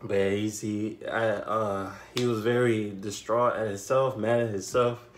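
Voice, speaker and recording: A young man giving a casual, unscripted movie recap, telling it in an animated way with repeated phrases and slangy asides. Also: fairly low pitch, measured pace, slight background noise. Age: young adult. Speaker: YouTube film reviewer. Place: small bedroom, indoors.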